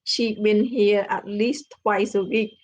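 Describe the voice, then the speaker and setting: A woman's voice: mid-range voice, fairly even intonation, slow pace. A woman hosting a casual online interview, friendly with loose, rambling phrasing. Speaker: host.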